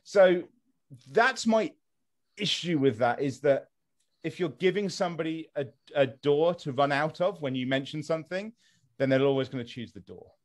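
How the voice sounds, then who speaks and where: A man from England on a podcast, criticising institutions in an animated, restarting way. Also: on the high side, normal speed. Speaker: podcast co-host. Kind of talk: casual podcast discussion.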